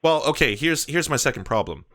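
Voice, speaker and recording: Indignant man with a bright, forceful voice. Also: mid-range voice, big pitch swings, brisk. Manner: indignant, earnest complaining. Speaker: prank caller posing as churchgoing parent. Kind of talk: prank phone call.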